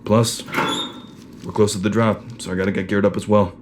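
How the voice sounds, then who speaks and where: A man acting as a determined sci-fi squad leader with a low voice. He is a questioning shock trooper in an audio drama, recorded with faint noise behind him. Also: level tone, brisk, natural voice. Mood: focused.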